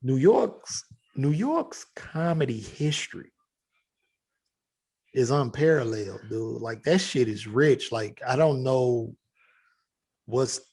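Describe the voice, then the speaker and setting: A man's voice: medium pitch, expressive, slow pace, pauses often, darkish tone, breathy edge. A man from Chicago's South Side with a Chicago accent, a guest comedian chatting on a podcast in a loose, profane way full of fillers. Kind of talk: casual podcast chat.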